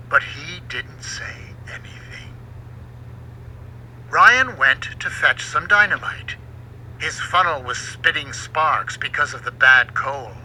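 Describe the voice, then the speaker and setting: A man reading a children's storybook aloud in an expressive, dramatic way. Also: on the high side, low-quality recording. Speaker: book narrator. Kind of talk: scripted storybook narration for children. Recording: home recording with faint background noise.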